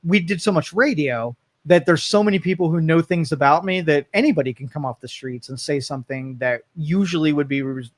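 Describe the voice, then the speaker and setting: A man's voice: a little high, a little fast, few pauses, no echo. A man with an Ohio accent hosting a local talk podcast, talking casually in a rambling way. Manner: easygoing, rambling, with fillers and restarts. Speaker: podcast host. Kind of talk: casual chat.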